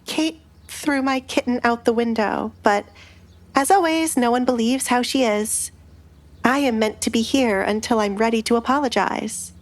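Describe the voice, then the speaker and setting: A woman plays a young noblewoman in an audio romance drama, pitched a little above the narration. She speaks softly and evenly, with dry, quiet defiance, over background music. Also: normal speed, no echo, lightly acted. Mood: resigned.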